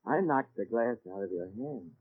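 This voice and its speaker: An actor playing a calm, knowing guardian angel with measured assurance in 1940s radio comedy. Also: male voice, on the low side, slightly rough, narrow, tinny audio. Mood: assured. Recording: thin, band-limited 1940s radio recording.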